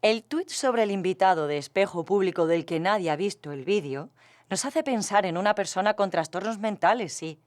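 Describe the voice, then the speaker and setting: A woman's voice: medium pitch, darkish tone, lightly acted. An actress from Spain playing a matter-of-fact female colleague who gives a sober analysis in conversation for an audio drama. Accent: Spanish from Spain.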